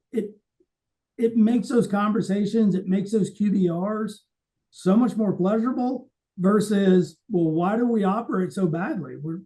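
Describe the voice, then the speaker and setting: A man's voice: high voice, dark tone, rough recording. A man in conversational podcast talk about his trade, explaining things emphatically and readily voicing other people's side of a conversation. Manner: explanatory, emphatic, acts out quoted remarks. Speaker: podcast co-host.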